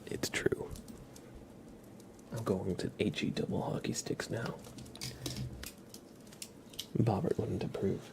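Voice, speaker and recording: Hushed, slow, low-voiced ASMR man. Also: level tone, vocal fry. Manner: hushed, often whispering, slow and gentle delivery to viewers. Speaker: ASMR livestream host. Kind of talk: ASMR, soft-spoken livestream chat.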